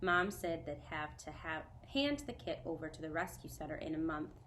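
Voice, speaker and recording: A woman teacher reading a children's novel aloud to a student, clear, measured and gentle. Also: low voice, animated, a little hiss or hum.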